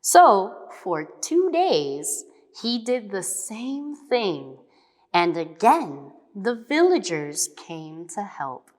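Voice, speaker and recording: A woman reading scripted fables as a storybook narrator, expressive, dramatic and deliberate. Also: medium pitch. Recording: long hall reverb.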